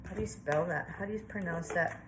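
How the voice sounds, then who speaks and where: A woman's voice: lowish voice, fast, background noise. A woman giving a casual tarot reading to camera, chatty and reassuring, thinking aloud with plenty of fillers. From Southwestern United States. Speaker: tarot reader.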